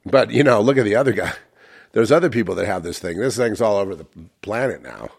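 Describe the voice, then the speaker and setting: A man's voice: lowish voice, expressive, quick pace, gravelly, breathy edge. An older man with a head cold talks in a rambling, reflective way and often stops to correct himself. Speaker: channel host. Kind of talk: casual spiritual monologue.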